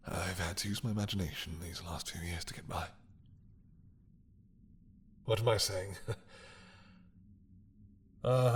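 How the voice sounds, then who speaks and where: A man playing an ancient, imprisoned mad dragon king in an intimate audio roleplay, seductive and menacing, with a husky, breathy voice and a teasing, hesitant drawl. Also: fairly low pitch, slight background noise. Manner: slow, teasing, hesitant drawl with murmured asides.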